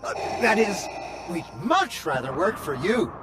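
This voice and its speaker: An actress playing a nervous, eager-to-serve sidekick in a video game, blurting out lines. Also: female voice, mid-range voice, measured pace. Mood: flustered. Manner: animated, blurting. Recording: very loud music and sound effects.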